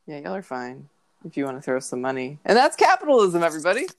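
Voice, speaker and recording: Podcast co-host, woman, congested, low voice, dry jokes. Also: very animated, fast talker. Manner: joking, wry asides. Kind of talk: casual chat.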